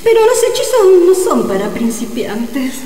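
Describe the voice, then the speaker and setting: A woman's voice: high-pitched, very animated, normal speed, mellow. A woman playing an evasive, sheepish amateur sorceress in a radio comedy drama. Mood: coy, evasive. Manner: coy, evasive, theatrical. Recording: echoey reverberant sound, faint noise.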